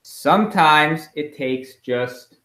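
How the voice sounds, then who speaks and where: A man reading a picture book aloud to children in an expressive, emphatic storytelling way, as a school principal at home. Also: on the high side, takes it slowly. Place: at home, indoors. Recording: roomy, echoey home recording.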